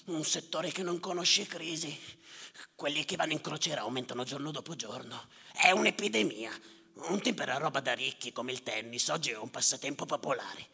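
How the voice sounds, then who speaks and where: An actor playing a bitter, sardonic general who tallies the dead, declaiming in a rasping theatrical monologue with repeated phrases. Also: male voice, a little high, brisk, pushed and bright, full character voice. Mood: mocking, then bitter grumbling. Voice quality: gravelly, rasping. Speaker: stage actor performing a monologue. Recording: reverberant theatre hall.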